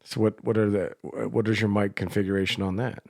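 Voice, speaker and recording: A man with a low-pitched voice chats informally, like a host talking shop with a friend. His delivery is loose and teasing, and the sound is dry, with hardly any room to it. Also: flat delivery, brisk, creaky, a little mellow, no room sound. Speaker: radio show host. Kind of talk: casual chat.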